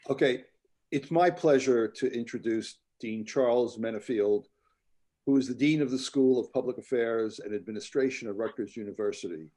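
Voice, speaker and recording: A male moderator with a mellow, warm voice reads formal speaker introductions. His delivery is plain and steady, as if from notes. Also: medium pitch, moderate pace.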